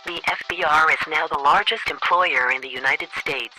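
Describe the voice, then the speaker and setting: A man playing an officious government chief of staff, reading formal, deadpan orders as a voicemail in a satirical radio comedy. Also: on the high side, normal speed, faint music behind, poor audio.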